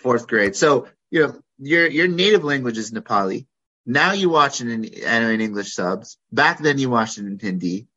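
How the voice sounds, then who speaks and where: A man hosting a podcast interview in a conference room, chatty with many ums and pauses. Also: mid-range voice, lively intonation, sharp tone. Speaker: podcast host. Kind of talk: casual interview. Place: conference room with poor acoustics. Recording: lo-fi, dull sound.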